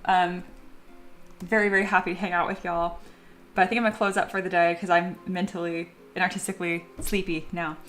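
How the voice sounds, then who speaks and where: A woman's voice: fairly low pitch, little pitch movement, brisk, fairly bright tone, faint music behind. A young adult woman chatting casually on a live stream while she sculpts at home, reading viewer messages aloud and laughing often. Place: home studio.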